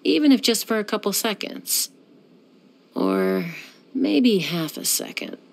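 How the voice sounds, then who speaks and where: A woman's voice: mid-range voice, huge pitch swings, takes it slowly, gravelly, exaggerated voice. A young woman voicing a self-assured, dryly teasing gunslinger who flies and settles disputes, in video game voice lines. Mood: cool. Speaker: game character.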